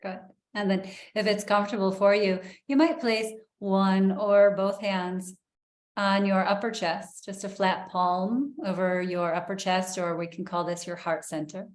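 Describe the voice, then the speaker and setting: A woman leading a guided breathing meditation in a soft, soothing way, with long pauses. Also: mid-range voice, steady tone, echoey room.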